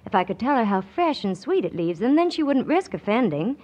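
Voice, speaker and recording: A woman's voice: on the high side, sing-song, fairly quick, a little mellow. An actress playing a perky, confiding young woman in a 1940s radio commercial. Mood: confiding concern.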